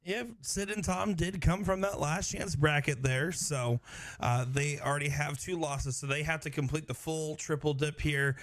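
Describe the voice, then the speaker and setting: Steady man explaining darts brackets. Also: on the high side, normal speed, no echo. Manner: steady, informative, fills in bracket details. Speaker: darts commentator. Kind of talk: live sports commentary.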